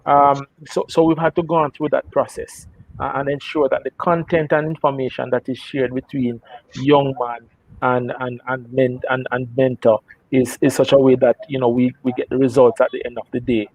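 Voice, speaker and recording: A man speaks as a radio guest in a measured, hesitant way, full of "um"s and restarts. The sound is dry and close, with no room around it. Now and then his voice lifts for emphasis. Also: mid-range voice, flat delivery, a little mellow, no room sound, low-quality recording. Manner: measured, many fillers and restarts, rises in emphasis. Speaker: guest on mentorship programme. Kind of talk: radio interview answer.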